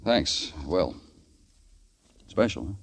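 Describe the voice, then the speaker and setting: An actor playing a world-weary, terse police detective in an old noir radio drama. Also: male voice, low-pitched, big pitch swings, slow, creaky, a little hiss or hum, heavily acted. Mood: hard, accusing. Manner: terse, hardboiled. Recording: old radio broadcast with music.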